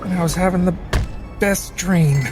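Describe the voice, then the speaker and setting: A man takes part in a tabletop roleplay in a casual in-character style. His voice is a bit higher than most men's. Background music is clearly present. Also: moderate pace.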